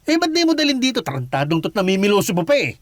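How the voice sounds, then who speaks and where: A blustering father in a Tagalog radio drama, high-voiced and very animated. He scolds and needles in exclamations, fond under the gruffness. The sound is close and dry. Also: very fast, no echo, heavily acted. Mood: nagging.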